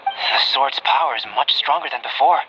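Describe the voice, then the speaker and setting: A man voicing a calm, scholarly young alchemist in a video game, measured, reserved and articulate. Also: medium pitch, background noise, lightly acted. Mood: intrigued.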